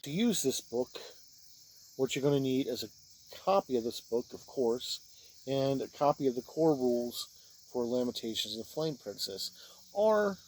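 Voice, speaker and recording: A man giving an informal spoken review of role-playing books, plain-spoken and halting, speaking in short phrases. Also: medium pitch, darkish tone, a little hiss or hum, low-quality recording.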